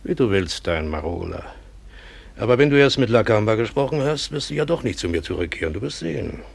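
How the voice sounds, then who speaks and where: An actor in a German radio drama playing a wary, scornful trader and father, with a gravelly voice. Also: lowish voice, sing-song, fairly quick, exaggerated voice.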